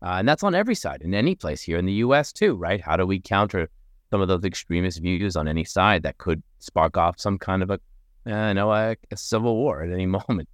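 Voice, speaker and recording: A man's voice: deep voice, animated, a little fast, no echo, clean studio sound. A man from Morocco speaking as a podcast guest, making an earnest, persuasive case. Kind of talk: podcast conversation.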